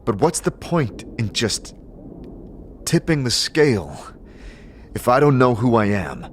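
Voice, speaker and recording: A man playing a brooding, searching immortal with no memory in a fantasy audio drama, with pensive, halting delivery. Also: mid-range voice, sing-song, a little hiss or hum.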